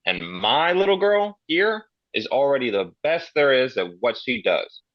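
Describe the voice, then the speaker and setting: A crude, swaggering, foul-mouthed guy, read aloud by a man at a table read, his pitch swinging lively up and down. The recording sounds a little dull and lo-fi, and the room is dry. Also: a little slow, no echo. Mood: teasing. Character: brash foul-mouthed grown man, loyal friend. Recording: slightly dull lo-fi.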